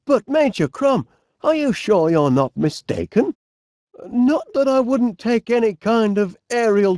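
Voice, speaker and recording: A man's voice: high voice, warm tone, dry recording, studio quality, full character voice. A man playing a cheerful, bumbling middle-aged inventor in a comedy game, with a Northern English accent and a politely flustered, hesitant manner. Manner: hesitant, stammering politeness with quaint exclamations. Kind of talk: animated comedy game voice acting.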